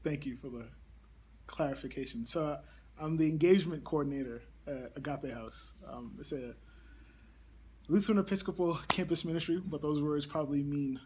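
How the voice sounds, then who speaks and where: A young man from Barbados, a campus ministry coordinator, speaking thoughtfully in a casual interview with many pauses and ums. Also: fairly high pitch, mellow, slight background noise, poor audio.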